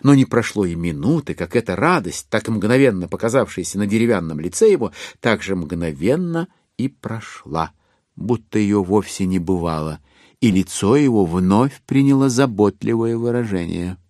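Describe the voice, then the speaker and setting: A man's voice: lowish voice, expressive, moderate pace, a little mellow, dry recording. A man narrating a literary audio drama in a scripted, dramatic storytelling style on a close studio microphone.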